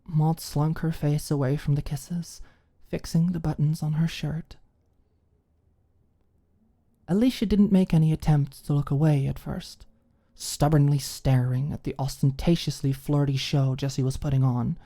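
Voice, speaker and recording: A woman reads a story as an ASMR audiobook narrator. Her voice sits low and has a soft, mellow tone, with the measured delivery of scripted fiction. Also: fairly even intonation.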